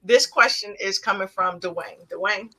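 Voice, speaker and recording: A woman hosts an online talk show, upbeat and full of enthusiasm. Her voice is bright and forceful, coming through a video call. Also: medium pitch, a little slow, slightly rough, low-quality recording. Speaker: talk show host and author.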